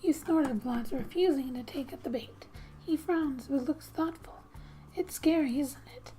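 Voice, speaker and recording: A woman speaking softly and breathily in gentle ASMR style, often slipping into a whisper as she reads a game story aloud with casual asides. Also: high voice, moderate pace, low-quality recording. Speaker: gaming ASMR creator. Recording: quiet background music. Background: soft music.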